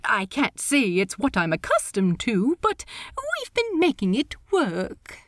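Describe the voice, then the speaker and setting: A woman voicing a fussy, polite gentleman pirate captain in an audiobook story, stammering and earnest. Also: sits high, wildly swooping pitch, measured pace, no echo, studio quality, pitched above the performer's own voice, heavily acted. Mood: brisk, polite. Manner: stammering, hesitant, prim.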